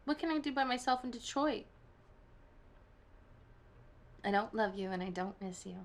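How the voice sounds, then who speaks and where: A woman's voice: fairly high pitch, animated, fast talker. An actress playing a self-assured corporate businesswoman who talks in a clipped, decisive manner in an acted game scene. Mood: cool, dismissive.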